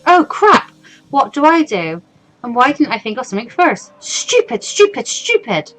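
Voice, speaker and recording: A woman's voice: high voice, sing-song, normal speed, fairly bright tone, voice pushed up, poor audio. A woman voicing an anxious, awkward fourteen-year-old schoolgirl from a visual novel, hesitant and self-doubting. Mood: flustered. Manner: hesitant, stammering, over-thinking. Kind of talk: visual novel character reading. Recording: music behind the voice.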